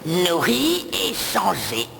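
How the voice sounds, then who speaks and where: A man's voice: sits high, huge pitch swings, drawn-out words, gravelly, noisy background, heavily acted. A man playing a grandiose, menacing, scheming cartoon villain who wants a throne, in French dub acting. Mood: smug triumph. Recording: loud music under the voice. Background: loud music.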